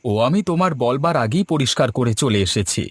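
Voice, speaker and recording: A man's voice: medium pitch, expressive, a little fast, natural voice. Man voicing a hearty village shopkeeper. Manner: hearty, hawking, eager to please. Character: cheerful village biryani shop owner, husband. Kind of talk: Bengali cartoon voice acting. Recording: background music.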